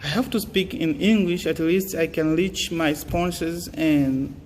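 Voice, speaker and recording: Young man, earnest and halting; echoing room, faint hiss. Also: fairly high pitch, darkish tone. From Tanzania. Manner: earnest, halting, many fillers and restarts. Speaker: student giving advice. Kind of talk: casual motivational talk.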